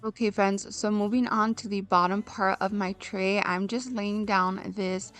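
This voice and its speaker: A young woman with a hoarse, raspy voice, chatting casually to her viewers in a home decor voice-over. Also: mid-range voice, fairly even intonation, measured pace, faint music behind. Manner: chatty, addresses viewers as friends. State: hoarse from illness.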